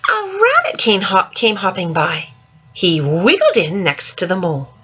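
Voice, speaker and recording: A woman reads a children's storybook aloud in an expressive, dramatic way, speaking directly to young listeners, with a husky voice. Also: mid-range voice, unhurried, dull audio. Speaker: storyteller reading a picture book to children.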